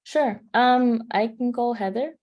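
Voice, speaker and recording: A young woman in her twenties from Kazakhstan, answering questions as a student panelist in a relaxed, conversational way. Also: a little high, slow pace. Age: 20s. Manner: conversational, thinking aloud between phrases.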